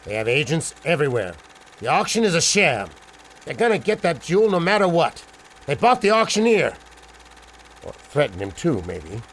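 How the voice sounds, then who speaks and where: A man's voice: on the high side, big pitch swings, normal speed, fairly bright tone, slight background noise. A man playing an anxious, fatherly older studio manager who frets and pleads in old-fashioned slang. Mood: worried, pleading. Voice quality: creaky. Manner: fretful, pleading, Yiddish-flavoured slang. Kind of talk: old-time adventure serial acting.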